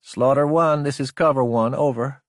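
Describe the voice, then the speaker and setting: A man's voice: mid-range voice, normal speed, no echo, clean studio sound, pitched above the performer's own voice, lightly acted. A man voicing a businesslike female mech pilot giving clipped military radio reports in an audiobook. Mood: brisk, businesslike. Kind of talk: audiobook character dialogue.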